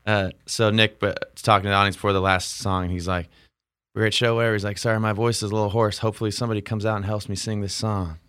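A man's voice: fairly low pitch, little pitch movement, quick pace, fairly bright tone, clean tone, no echo, studio quality. A man, a musician guest on a podcast, telling a story in a rambling, laid-back way with lots of fillers. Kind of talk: casual storytelling.

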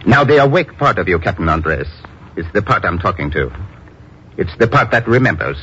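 Clinical hypnotist doctor, low expressive voice, deliberate insistent delivery, vintage radio drama. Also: male voice, full character voice. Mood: commanding, probing. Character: careful, probing doctor and hypnotist, commanding. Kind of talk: 1950s radio drama acting. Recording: muffled, noisy old radio recording.

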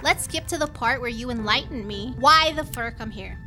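A woman's voice: fairly high pitch, moderate pace, sharp tone, soft background music. An actress playing a brash, wisecracking young woman space pirate in a sci-fi audio drama, sarcastic and defiant. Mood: impatient.

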